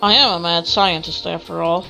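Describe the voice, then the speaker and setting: A woman's voice: on the low side, steady tone, normal speed, gravelly, bright, forceful tone, rough recording. A young woman let's player reading visual novel text aloud, expressively and with the odd stumble. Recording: game music behind the voice. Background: video game music.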